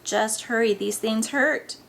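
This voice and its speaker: Woman reading as a grumbling teenage boy, high-pitched. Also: slow pace, vocal fry, fairly bright tone, slight background noise, heavily acted. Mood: grumbling, reluctant. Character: reluctant, wary teenage boy.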